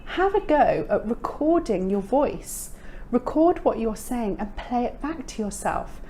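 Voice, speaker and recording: British woman, English teacher, emphatic and upbeat, warm mellow voice. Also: fairly high pitch, expressive, measured pace, slight background noise. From United Kingdom. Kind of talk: scripted lesson to camera.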